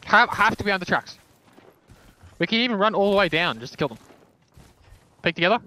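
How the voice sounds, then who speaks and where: A man's voice: high voice, expressive, brisk, sharp tone. A man playing in an esports team, making urgent, repeated callouts and swearing in the heat of a match. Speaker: esports player calling plays. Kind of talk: excited in-game team callouts.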